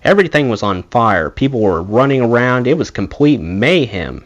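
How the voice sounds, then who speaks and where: A man reads a memoir aloud as an audiobook narrator. His pitch moves up and down in a lively way, giving the storytelling an expressive, animated feel. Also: moderate pace, muffled recording. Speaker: audiobook reader, channel host.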